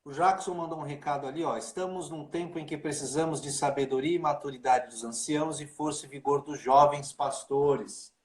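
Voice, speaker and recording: A man's voice: fairly high pitch, fairly even intonation, brisk, few pauses. A man, a pastor hosting a casual livestream chat over a video call, informal and talkative. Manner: chatty, informal, full of fillers like né and cara. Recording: echoey room.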